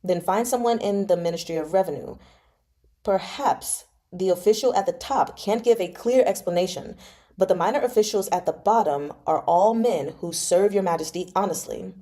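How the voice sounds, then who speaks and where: A woman's voice: fairly low pitch, moderate pace, dark tone, close to an everyday voice. A woman voicing a shrewd, composed courtier, steadily persuading, in a novel read-aloud. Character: shrewd, composed male courtier advising the emperor.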